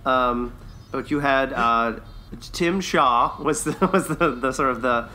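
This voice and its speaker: A man's voice: mid-range voice, slow pace, pushed and bright, a little hiss or hum. A man co-hosting a review podcast, chatting casually and enthusiastically in an informal way. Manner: informal, enthusiastic, laughs often. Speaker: podcast co-host reviewing a TV series. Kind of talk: casual chat, review discussion.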